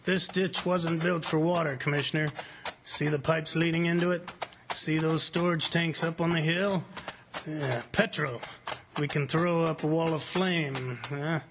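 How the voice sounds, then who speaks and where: A man's voice: a little high, fairly even intonation, a little slow. Man acting a smug plantation boss, noisy recording. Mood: proud confidence. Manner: confident, offhand, matter-of-fact. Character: smug, unflappable, cigar-chewing plantation owner. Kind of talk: old-time radio drama acting.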